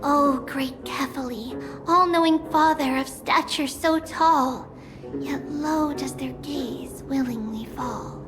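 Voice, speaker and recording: A high-voiced, serene female bard. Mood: solemn, lyrical. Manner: measured, lyrical, reverent. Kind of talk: solemn poetic storybook narration. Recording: music behind the voice. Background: music.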